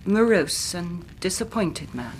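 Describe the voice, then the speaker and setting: An English actress playing an anxious, careworn young Victorian gentlewoman in a radio drama, speaking formally and precisely. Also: on the low side, lively intonation, slow pace, slight background noise, rough recording. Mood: grave, hushed. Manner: formal, precise, dramatic narrating with hushed moments. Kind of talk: British radio drama acting.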